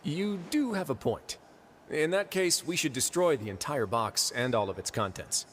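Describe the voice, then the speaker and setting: An actor playing a composed, dry-witted duke in a fantasy game, measured and courteous. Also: male voice, medium pitch, lively intonation, fairly bright tone, a little hiss or hum, natural voice. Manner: measured, courteous, dryly understated. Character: composed, dry-witted male duke who runs a prison. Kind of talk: scripted game dialogue.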